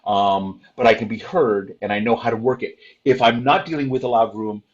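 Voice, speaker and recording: An older man sharing practical performing advice on an online convention panel, loud-voiced, with many fillers and restarts. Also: medium pitch, steady tone, a little fast, muffled recording.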